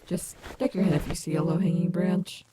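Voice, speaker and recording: A woman's voice: on the low side, fairly even intonation, a little fast, very noisy, close to an everyday voice. A woman playing a cocky but caring animatronic wolf rock star in an ASMR audio roleplay, teasing and affectionate.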